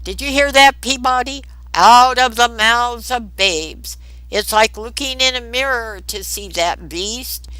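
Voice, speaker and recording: Audiobook role: pompous, musing man who rambles and flirts read by a woman, bright, emphatic, slow delivery. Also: a little high, dry recording, pitched above the performer's own voice, poor audio. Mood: grandiose, musing. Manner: halting, wordy, theatrical.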